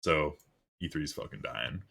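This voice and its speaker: A deep-voiced young man co-hosting a casual chat about video game news, laid-back and swearing often. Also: lively intonation, normal speed, vocal fry, pushed and bright, breathy edge. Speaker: podcast co-host.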